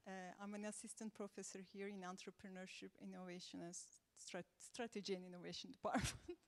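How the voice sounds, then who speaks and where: Turkish-accented woman, mid pitch, measured panel talk, long reverb. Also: little pitch movement. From Turkey. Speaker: assistant professor on a panel. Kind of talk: round-table panel talk. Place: conference room.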